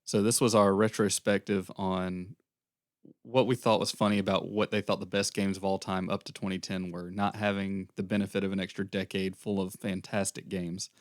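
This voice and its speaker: Young male podcast co-host, low and slightly hoarse, casual rambling delivery, dry close sound. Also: flat delivery, normal speed, no echo. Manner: rambling recap with fillers. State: losing his voice, airy. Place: small podcast room.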